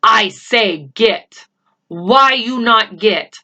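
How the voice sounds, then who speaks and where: Woman acting a bossy, slow-spoken tiny chief. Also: a little high, animated, bright, forceful tone, exaggerated voice. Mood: scowling, insistent. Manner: blunt clipped broken phrasing, imperious. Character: proud, bossy, tiny Native man chief, stern and demanding. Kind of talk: children's storybook character voice.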